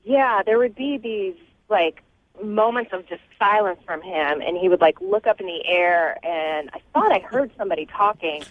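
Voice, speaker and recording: A woman's voice: medium pitch, expressive, normal speed. A woman calling a radio show by phone, chatting casually and a bit hesitantly over a thin line. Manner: hesitant, conversational. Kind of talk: casual chat. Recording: tinny narrow phone line.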